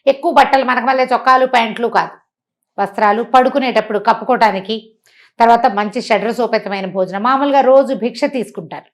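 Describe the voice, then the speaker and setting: A woman tells a moral story aloud. She is conversational and animated, slipping rhetorical questions and bits of acted dialogue into the telling. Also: fairly high pitch, fast. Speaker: storyteller and motivational speaker.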